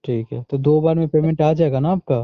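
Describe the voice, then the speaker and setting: A man's voice: a little high, fairly quick, no room sound. An Indian man on a muffled recorded phone call, chatting informally and pushing back with questions. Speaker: online seller on a phone call. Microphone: phone line.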